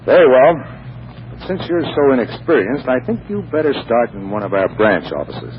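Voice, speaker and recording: A man's voice: mid-range voice, big pitch swings, moderate pace, close to an everyday voice. An actor playing a stuffy businessman, formal, proper and awkwardly earnest, in 1940s radio comedy style. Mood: stiff, suspicious. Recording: dull, noisy 1940s radio recording.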